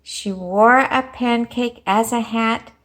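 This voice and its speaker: A woman teacher reading a storybook aloud and talking to young children, expressive, with questions and pauses for them. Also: a little high. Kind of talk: animated storybook read-aloud and lesson.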